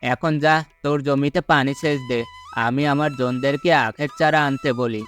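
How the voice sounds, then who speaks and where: A man's voice: medium pitch, steady tone, moderate pace, a bit bright, close to an everyday voice. A man playing a sly, well-off village farmer in a cartoon folk tale, persuasive and condescending as he feigns kindness. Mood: bossy, coaxing. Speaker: cartoon voice actor. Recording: music under the voice.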